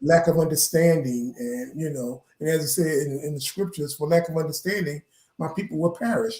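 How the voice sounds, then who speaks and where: A man's voice: a little high, brisk, darkish tone. A man giving an earnest, instructive explanation in a conversational way, as a guest educator. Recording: echoey room sound.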